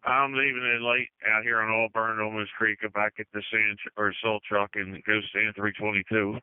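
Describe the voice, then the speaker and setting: Low clear-voiced man on tinny radio. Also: little pitch movement, normal speed, no echo. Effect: two-way radio. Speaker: road crew truck driver. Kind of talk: two-way radio check-ins. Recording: tinny narrowband radio transmission.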